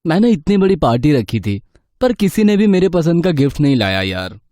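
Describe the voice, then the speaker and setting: A man voicing a casual twenty-year-old young man in an animated horror story. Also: a little high, brisk, no room sound, clean studio sound. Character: young man, twenty, friendly birthday party host. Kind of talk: animated story acting, conversational.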